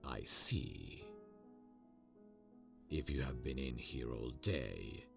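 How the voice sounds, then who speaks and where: A man playing a stern, formal vampire father and castle lord, speaking in a gravelly, measured voice with restrained menace. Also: rumbling low, expressive, music in the background, exaggerated voice. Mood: quiet suspicion. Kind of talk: slow dramatic roleplay acting.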